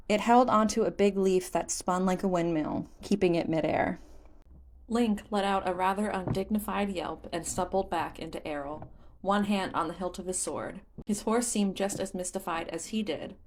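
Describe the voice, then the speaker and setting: A woman's voice: lowish voice, darkish tone. A woman reading scripted fan fiction as an audiobook narrator.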